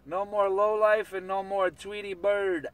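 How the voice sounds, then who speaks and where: A man with a bright, forward voice talks on CB radio, chanting the same phrases again and again. His voice sits a little high and comes through from a distance over a noisy radio link. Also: level tone, unhurried, clean tone, dry recording. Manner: repetitive, chanting catchphrases. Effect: CB radio transmission. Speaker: CB radio operator. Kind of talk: CB radio banter.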